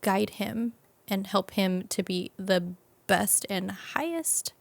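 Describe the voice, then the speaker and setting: Woman with slight vocal fry, unhurried. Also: medium pitch, no echo. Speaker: interview guest. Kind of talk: casual interview conversation.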